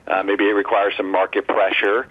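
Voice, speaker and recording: A man speaking over a telephone as an interview guest, giving measured opinions as a market strategist. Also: lowish voice, little pitch movement, slightly rough. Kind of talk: live interview answers. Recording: muffled, narrow phone line.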